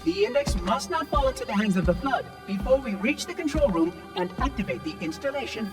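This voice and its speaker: An actor voicing a prim, fussy ancient machine caretaker in a video game cutscene, speaking in a polite, precise and formal way. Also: male voice, very high-pitched, lively intonation, fairly quick. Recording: distant voice over loud music.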